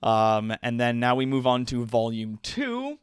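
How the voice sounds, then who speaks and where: A man chatting as a livestream host. His voice is smooth and unhurried, recorded dry, and he explains in a casual, playful, slightly hesitant way. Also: medium pitch, big pitch swings, no echo.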